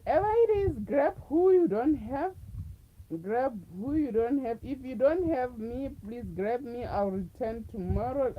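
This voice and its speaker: A woman with a deep voice hosting a livestream, making slow, repetitive, coaxing appeals to her viewers. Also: dull audio, slight background noise. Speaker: livestream host. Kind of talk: casual livestream chat to viewers.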